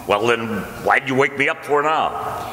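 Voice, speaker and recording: A man's voice: mid-range voice, expressive, a little slow, pushed and bright, slight background noise. A man playing a crabby, sleepy, henpecked husband in old-time radio comedy, grumbling and stammering in an echoey hall. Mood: grumpy. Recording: echoey hall with long reverb.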